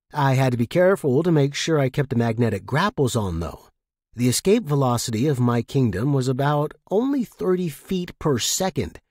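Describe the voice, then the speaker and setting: A man reading first-person fiction as a narrator, in an expressive, dramatic manner. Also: mid-range voice, dry recording, clean studio sound.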